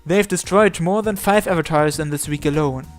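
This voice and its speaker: A man's voice: a little high, moderate pace. A man reading visual novel story text aloud as narration, sometimes stumbling over a word and repeating it. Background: background music.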